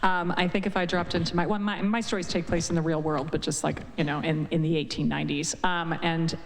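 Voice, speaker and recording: A woman's voice: deep voice, level tone, brisk, gravelly. An eager, enthusiastic woman author answering on a live panel. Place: event hall. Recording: reverberant hall, faint noise.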